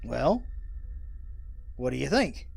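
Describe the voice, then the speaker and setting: An actor playing a folksy, rambling old American hermit in a radio drama, with a gravelly, raspy voice and an excitable rustic manner. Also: male voice, medium pitch, takes it slowly, soft background music, full character voice. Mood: earnest. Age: older.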